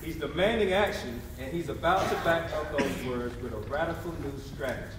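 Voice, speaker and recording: A man on stage playing an earnest history narrator, with measured, dramatic phrasing in a scripted readers theatre style. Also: fairly high pitch, lightly acted. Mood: dramatic, building. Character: male narrator telling civil rights history, earnest and dramatic. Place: live stage performance in a hall. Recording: very echoey hall, distant mic, background noise.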